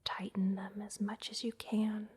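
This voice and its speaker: A woman leads an ASMR guided meditation in a very breathy whisper. Her delivery is slow and even, with little movement in pitch. She gives soft, soothing instructions and leaves space between them. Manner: gentle, soothing, instructing softly with pauses. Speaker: massage therapist and meditation guide, host.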